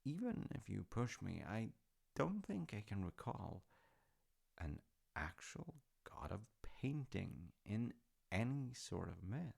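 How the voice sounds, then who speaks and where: A man playing a warm, gently teasing dad in an intimate audio roleplay, speaking thoughtfully and reassuringly with a gravelly voice. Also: on the low side, sing-song, slow pace, exaggerated voice. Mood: musing. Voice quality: gravelly, creaky. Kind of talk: intimate audio roleplay, slice-of-life acting.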